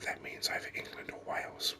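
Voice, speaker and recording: A person hosting a gaming video in soft, unhurried ASMR whispers, musing over the game as they go, close to the microphone.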